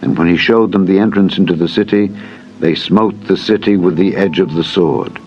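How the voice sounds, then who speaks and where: A man's voice: low voice, steady tone, normal speed, darkish tone, lo-fi sound. A man narrating a dramatized Bible reading in a solemn, formal manner. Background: music.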